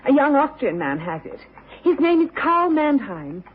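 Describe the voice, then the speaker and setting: A woman's voice: high-pitched, sing-song, moderate pace. A woman playing a guarded but civil young boarding-house woman in an old radio drama. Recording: muffled, band-limited old radio recording.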